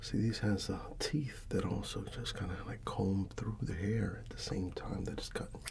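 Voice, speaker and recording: A middle-aged American man doing a soft-spoken ASMR barber roleplay, breathy and often near a whisper, with an unhurried, halting, fatherly manner. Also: deep voice, fairly even intonation. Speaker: ASMR performer playing a barber.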